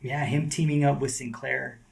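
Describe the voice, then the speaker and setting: A man's voice: mid-range voice, normal speed, vocal fry, a little hiss or hum. A man who is a guest skateboarder, talking casually and loosely on a podcast. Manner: relaxed, slangy, lots of "like" and "dude".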